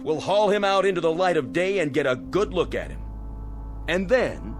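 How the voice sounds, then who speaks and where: Menacing, mocking major, very animated delivery, slangy and commanding, dramatic score underneath. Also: male voice, a little high, moderate pace, music in the background. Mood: sneering. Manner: sarcastic, theatrical, slangy, barking orders. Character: mocking, domineering military major, cynical and menacing.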